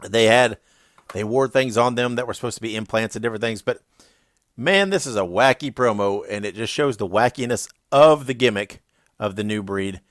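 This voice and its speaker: A man's voice: fairly low pitch, moderate pace, no echo. Podcast host guy, stuffy nose, casual talk, dry mic. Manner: chatty, laughs, fillers. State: stuffy, congested.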